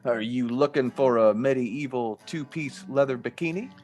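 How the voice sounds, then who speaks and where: A man's voice: mid-range voice, normal speed, darkish tone, natural voice. A man acting a friendly, folksy cowboy-style shopkeeper in a comic radio advert, with an obliging drawl. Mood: salesman-like. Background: plucked guitar music.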